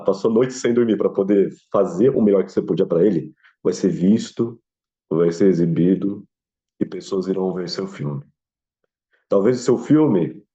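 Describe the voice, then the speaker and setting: Young brazilian guy, deep-ish soft-toned voice, earnest advice in an interview, slightly dull audio. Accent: Brazilian Portuguese. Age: young adult. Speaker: student filmmaker interviewee.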